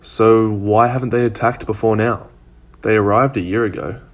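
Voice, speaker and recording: A man acts an astonished human companion in a fan-made audio drama. His voice is on the low side, and he comes across as questioning and sceptical, struggling to believe what he hears. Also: steady tone, moderate pace, lightly acted. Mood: concerned. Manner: questioning, incredulous.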